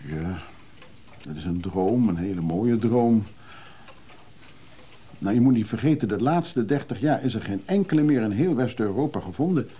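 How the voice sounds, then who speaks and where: An actor playing a modest, wistful middle-aged hunter of antique musical machines in a radio drama, husky and low-key, musing hesitantly. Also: male voice, expressive, moderate pace, long pauses, dark tone. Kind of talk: naturalistic radio drama dialogue.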